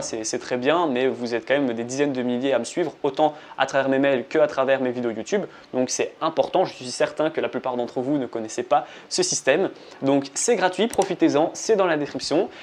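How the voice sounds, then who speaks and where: A man's voice: medium pitch, a little hiss or hum. A man speaking directly to viewers as a trading coach, giving a conversational promotional explainer with repetition and rhetorical questions. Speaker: trading coach and video presenter.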